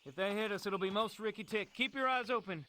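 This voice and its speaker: A jumpy, sarcastic Marine gunner in a war game, with a sharp, forceful high voice. He gripes and swears over faint battle noise. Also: a little fast. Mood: wary. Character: sardonic, jumpy male Marine machine gunner. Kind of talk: war video game voice acting.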